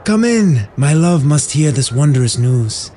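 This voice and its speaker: A man's voice: a little high, very animated, unhurried, warm tone, slight background noise. A man playing an unstable, desperate king devoted to his wife in a fantasy audio drama, speaking fervently and urgently. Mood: feverish joy.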